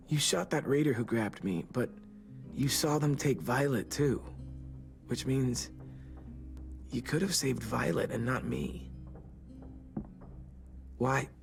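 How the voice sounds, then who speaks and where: A charming teenage boy talks in an American accent, hesitant and self-deprecating. The delivery is wry, natural video game acting. There is soft music behind the voice. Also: medium pitch, slow, gravelly, pauses often. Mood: hurt, uncertain.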